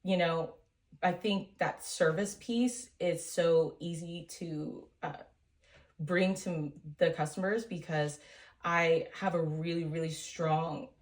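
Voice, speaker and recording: Woman guest chatting earnestly, kinda low voice, lots of ums and likes. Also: fairly even intonation, slow. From United States. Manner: earnest, warm storytelling with many fillers. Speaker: small business owner, guest. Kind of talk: casual interview chat.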